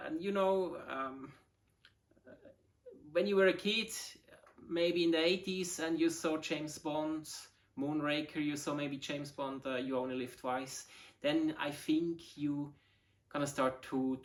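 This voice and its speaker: A man in his forties, a Swiss German native speaker with a Swiss German accent, hosting a casual hobby video in halting English with many fillers as he searches for words. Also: mid-range voice. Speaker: model rocket hobbyist, channel host. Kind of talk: casual show-and-tell video.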